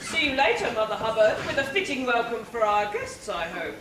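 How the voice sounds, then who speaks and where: A woman's voice: on the high side, very animated, a little fast. A woman with an English accent playing a polite, exasperated king in an amateur stage pantomime. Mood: mildly exasperated. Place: theatre stage. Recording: echoey noisy theatre, distant microphone.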